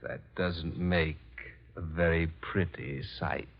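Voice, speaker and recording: An actor playing a coldly composed, mysterious masked man in measured, formal 1940s radio horror style. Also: sits low, steady tone, vocal fry, full character voice. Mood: cold, grave. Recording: muffled old radio recording.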